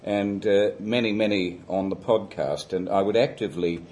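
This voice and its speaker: An older man speaks into a microphone in a seminar room, his voice pitched on the low side. He lectures loudly and deliberately. His sentences are dotted with ums and hesitant restarts. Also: slight background noise. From Australia. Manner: loud, deliberate, hesitant with many fillers. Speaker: guest lecturer.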